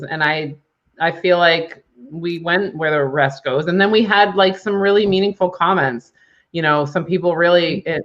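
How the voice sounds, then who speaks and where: A woman in her thirties co-hosting a casual chat, rambling with quick asides and giggling often. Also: lowish voice, a bit bright. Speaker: podcast co-host. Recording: dull lo-fi sound.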